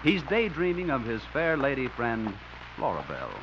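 A man's voice: medium pitch, wildly swooping pitch, moderate pace, background noise. A male announcer introducing a 1950s radio sitcom in a formal, showy way. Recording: dull old radio with loud music.

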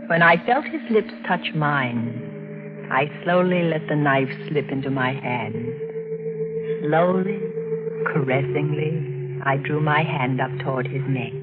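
An actress playing a cunning, passionate widowed murderess in an intense 1940s radio mystery. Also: female voice, low-pitched. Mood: slow, sensual menace. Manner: intense, melodramatic. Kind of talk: 1940s radio mystery acting, narrating and dialogue. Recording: muffled, noisy old radio broadcast.

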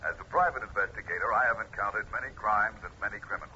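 An actor playing a private investigator giving a measured, formal speech, heard as a played-back recording in an old radio drama. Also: male voice, fairly low pitch, sharp tone, muffled recording, slight background noise. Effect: played-back recording filter. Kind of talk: 1950s radio drama acting.

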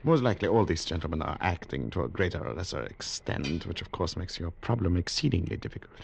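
A sly, gravelly colonial official. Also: male voice, low voice, sing-song, exaggerated voice. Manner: formal, slow, foreign phrasing. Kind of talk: 1950s radio drama acting. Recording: old radio recording with faint hiss.

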